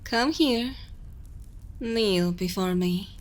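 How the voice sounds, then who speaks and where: A woman playing a dominant, possessive yet motherly evil queen in an ASMR roleplay, speaking softly and intimately close to the microphone, often in a breathy whisper. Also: mid-range voice. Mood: commanding, teasing. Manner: slow, deliberate, commanding, intimate.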